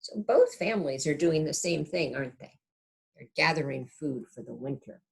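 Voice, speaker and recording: A woman with a low voice reads a children's picture book aloud. Her pitch moves in lively swoops, and she speaks like a kindergarten teacher, pausing to explain and put gentle questions to little listeners. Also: gravelly. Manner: asks the young listeners gentle tag questions.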